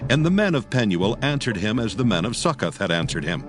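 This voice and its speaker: Deep-voiced man solemnly narrating scripture over music. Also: very animated, fairly quick. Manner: solemn, archaic storytelling delivery. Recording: music behind the voice. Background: clear background music.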